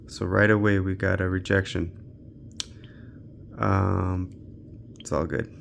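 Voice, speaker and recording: A man's voice: deep voice, level tone, slow, vocal fry, a little hiss or hum. A man giving casual, unscripted gaming commentary as a channel host, thinking aloud in a laid-back way.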